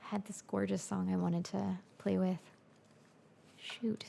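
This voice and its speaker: Young woman chatting on her studio livestream, bit of vocal fry, reading out the chat. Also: mid-range voice, little pitch movement, a little slow, dark tone. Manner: chatty, reads and answers live chat, switches into Spanish. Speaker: musician livestream host. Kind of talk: casual livestream chat with viewers. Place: home music studio.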